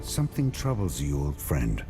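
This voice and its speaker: An actor playing a stern, noble warrior hero in a video game, speaking in a grave, clipped, resolute manner. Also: male voice, a bass voice, huge pitch swings, normal speed, music in the background, full character voice. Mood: guarded, brooding. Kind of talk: video game cutscene acting.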